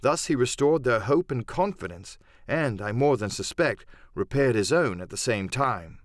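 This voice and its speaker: Animated male audiobook narrator. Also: medium pitch, normal speed, no room sound, studio quality. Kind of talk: dramatic scripted narration of an adventure novel.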